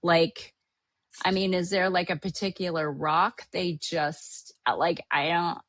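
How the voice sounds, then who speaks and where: A woman's voice: lowish voice, little pitch movement, unhurried, a bit bright, dry recording. Chatty middle-aged woman from Hawaii. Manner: chatty, rambling with many fillers and restarts. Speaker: podcast host. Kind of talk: casual chat recapping a trip.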